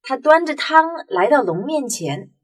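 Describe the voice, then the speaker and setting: A woman narrating a children's story in an expressive storytelling manner. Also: high-pitched, unhurried, very noisy. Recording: music behind the voice.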